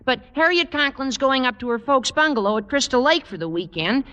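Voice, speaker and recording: An actor playing an eager, gawky American teenage schoolboy with a cracking adolescent delivery in an old radio comedy. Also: male voice, very high-pitched, sing-song, fairly quick, sharp tone, dull audio, exaggerated voice. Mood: hopeful. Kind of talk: 1940s-50s radio comedy acting. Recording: old radio broadcast, long reverb.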